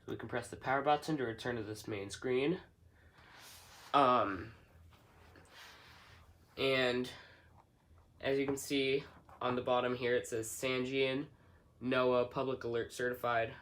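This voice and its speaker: A man's voice: mid-range voice, fairly even intonation, a little slow, long pauses, fairly bright tone. Man casually demonstrating, noisy homemade recording. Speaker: hobbyist product reviewer.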